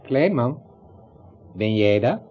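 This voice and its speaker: A man's voice: mid-range voice, big pitch swings, slow. A male actor playing an aloof, vague doctor in a radio play, offhand and noncommittal. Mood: calm. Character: aloof, vague doctor met on a night street. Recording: dull, slightly noisy radio recording.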